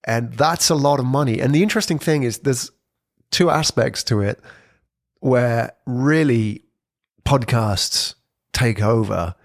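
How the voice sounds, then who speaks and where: Guy chatting through a talk, lively and loose, lots of "sort of", dry close sound. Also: mid-range voice, a little slow, no echo. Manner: lively and conversational, with frequent "sort of" hedges. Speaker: presenter on brand podcasting. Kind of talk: informal explainer talk.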